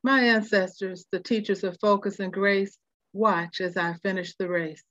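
An older woman reads her own poem in measured, short phrases with a rhyming cadence. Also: medium pitch, fairly even intonation, fairly bright tone, dry recording. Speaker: poet reading own work. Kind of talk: poetry recitation. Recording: dull, lo-fi dry recording.

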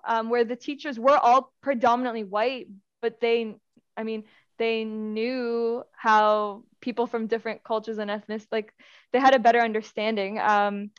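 Earnest young woman, smooth high voice. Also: no echo, highs cut off. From Afghanistan. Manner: earnest, talks in long winding sentences with restarts. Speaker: guest from a youth education nonprofit. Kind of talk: casual interview chat.

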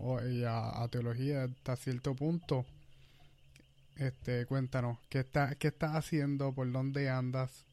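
A man interviewing a guest, asking halting, relaxed questions and backchanneling often. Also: medium pitch, clean tone. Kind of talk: casual interview.

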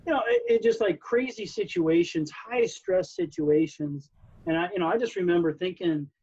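A man's voice: high-pitched, very animated, moderate pace, a little mellow, slightly rough, muffled recording. A man, a coach, talking casually and reflectively, with many "you know"s. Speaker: wrestling coach. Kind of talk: casual conversation.

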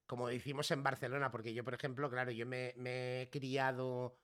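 A man's voice: medium pitch, moderate pace. A man from Catalonia talking casually on a podcast, rambling and tagging his sentences with questions for the listener. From Catalonia, Spain (Girona). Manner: relaxed, rambling, peppers speech with "¿sabes?" and "tío", swears casually. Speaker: podcast conversation participant. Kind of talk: casual chat.

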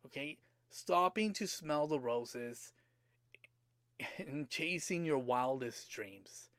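Slightly hoarse male podcast host, high-ish voice, dry close sound, earnest and questioning. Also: lively intonation, slow pace, a bit bright, no room sound. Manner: earnest, repeats rhetorical questions to the listener. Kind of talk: motivational podcast monologue.

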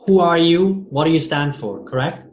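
A man's voice: a little high, moderate pace, a little hiss or hum. A man hosting a conversational interview, rambling along and often stumbling over and repeating his words. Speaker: podcast host interviewing a guest. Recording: roomy, echoey sound.

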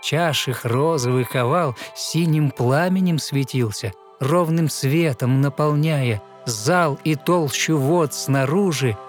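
A man narrating a fairy tale in verse, in an expressive storytelling manner. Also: on the high side, takes it slowly, few pauses, faint music behind.

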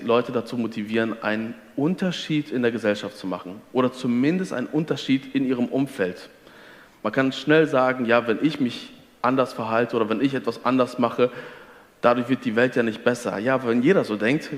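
Man from Ostwestfalen, middle pitch, earnest teacher type, reverberant room. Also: a little fast. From Ostwestfalen, Germany. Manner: earnest, teacherly, quotes scripture. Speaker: guest. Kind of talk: faith conversation.

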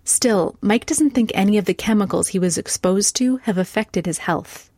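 A woman reading scripted documentary narration in a measured, clear way. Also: medium pitch, dark tone, no echo, clean studio sound.

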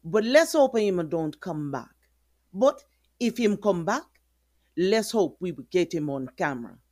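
An actress with a Jamaican accent playing a caring, protective, plain-spoken neighbour in an audio drama. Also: female voice, lowish voice, sing-song, measured pace, a little mellow, no echo. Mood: concerned, soothing.

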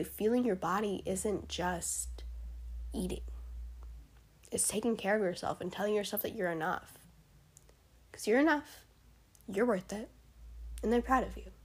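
A hoarse young woman hosting a solo podcast, speaking in an encouraging, heartfelt way, her voice cutting in and out and often dropping to a whisper. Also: on the high side. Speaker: podcast host. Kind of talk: solo motivational podcast talk.

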